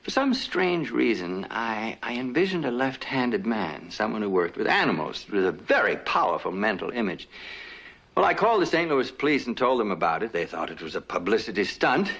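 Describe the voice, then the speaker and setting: An actor playing a genteel, sly stage mentalist in a 1970s TV thriller, speaking in a hesitant, deliberate, theatrical way. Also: male voice, on the low side, very animated, a bit bright, slightly rough, exaggerated voice. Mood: reminiscing. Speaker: actor in a film. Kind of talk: 1970s TV thriller film acting.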